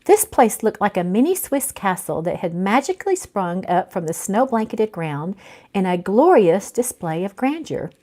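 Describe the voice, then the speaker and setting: A woman's voice: mid-range voice, sing-song, normal speed, darkish tone. A woman with a mid-range voice hosts an informal online reading as an author. The delivery is that of someone sharing their own book with followers, part chat and part read-aloud.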